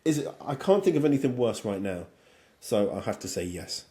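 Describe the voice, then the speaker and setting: A man with a croaky voice from a cold, a guest giving direct, firm opinions in a casual podcast chat. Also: on the low side, sing-song, a little fast, dark tone.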